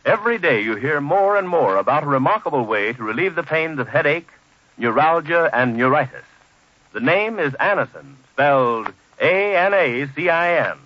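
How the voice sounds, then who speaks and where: A man's voice: on the high side, lively intonation, normal speed. An American man announcing in old-time radio style, reading a script with emphatic, formal salesmanship. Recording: dull old radio recording.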